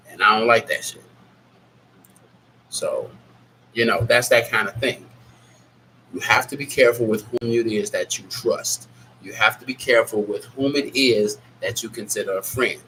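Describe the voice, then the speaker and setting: A man's voice: medium pitch, sharp tone. A man hosting a casual live Q&A, sick with a stuffy nose and scratchy throat, talking in a rambling, blunt way. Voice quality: nasal. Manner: rambling, candid and blunt, reads out chat names. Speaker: livestream host.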